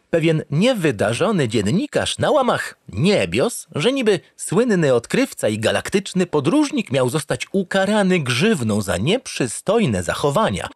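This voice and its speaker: An actor playing a pompous, naive spaceship captain, narrating a comic sci-fi radio play in a theatrical, grandiloquent, digressive way. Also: male voice, a little high, slight background noise. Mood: scornful, gossipy. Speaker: lead actor in an audio drama.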